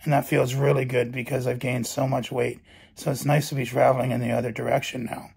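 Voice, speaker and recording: Middle-aged man, hoarse and gravelly, flat even delivery, phone-to-face vlog in a bedroom. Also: mid-range voice, brisk. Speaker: vlogger. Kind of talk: personal health update. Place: bedroom at night, indoors. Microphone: phone camera held close.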